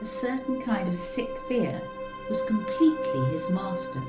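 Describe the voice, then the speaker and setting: A woman reading a story aloud in a slow, measured, expressive way. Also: mid-range voice. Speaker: reader. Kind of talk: storybook narration. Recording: roomy echo with faint music.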